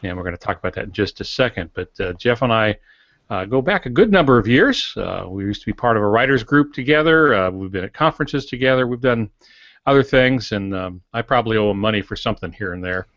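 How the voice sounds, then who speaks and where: A man in his sixties co-hosting a podcast, introducing things informally in a halting, folksy, lightly joking way. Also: lowish voice, animated, a bit bright, dry recording, dull audio. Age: 60s. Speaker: podcast co-host.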